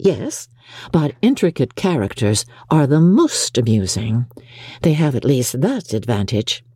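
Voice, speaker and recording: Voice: medium pitch, very animated, unhurried, a little mellow, husky, dry recording, clean studio sound. An audiobook narrator voicing a witty, composed and playful young woman in a period novel.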